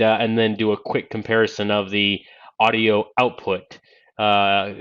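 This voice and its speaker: A man in his thirties hosting a casual tech unboxing in a halting, conversational way, speaking into a Bluetooth headset in a small office. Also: on the low side. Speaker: tech video host demonstrating a headset. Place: small home office, indoors. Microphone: single-ear Bluetooth headset. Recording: dull narrow-band Bluetooth headset sound.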